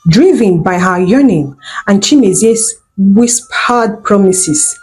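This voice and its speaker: Woman storyteller reading out a folktale, slow pace, faint music behind. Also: medium pitch, expressive, darkish tone. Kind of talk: scripted folktale narration.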